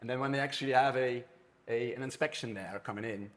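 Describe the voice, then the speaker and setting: A man's voice: mid-range voice, fast talker. A man with a Dutch accent moderating a live conference panel, chatty and often restarting his phrases. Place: conference room with an audience.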